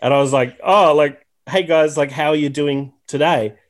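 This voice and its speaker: A man's voice: a little high, moderate pace. A man in his thirties from Tasmania with an Australian accent, a podcast guest chatting casually and self-deprecatingly with plenty of fillers. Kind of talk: casual chat.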